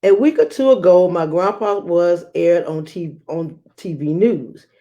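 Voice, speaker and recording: Slow, mellow woman reading aloud. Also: fairly low pitch, muffled recording. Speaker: read-aloud host and teacher. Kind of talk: children's story read-aloud with teaching tips.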